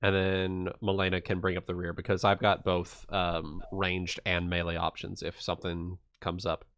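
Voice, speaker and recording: A man's voice: sits low, monotone, normal speed, fairly bright tone, clean tone, no echo. A man playing in an online tabletop game, planning aloud with fillers. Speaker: player. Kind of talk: casual game talk.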